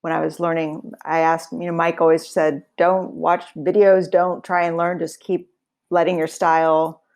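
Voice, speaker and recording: A woman's voice: low-pitched, flat delivery, moderate pace, darkish tone. A woman musician talking casually in an interview, reflective, with many fillers. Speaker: singer and guitarist.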